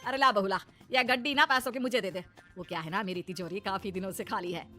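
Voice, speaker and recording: A woman's voice: medium pitch, fast talker, sharp tone, soft background music, pitched below the performer's own voice, lightly acted. A woman voicing a greedy, scolding middle-aged mother-in-law in a cartoon moral story, taunting and sarcastic. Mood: wheedling. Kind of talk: animated moral story acting.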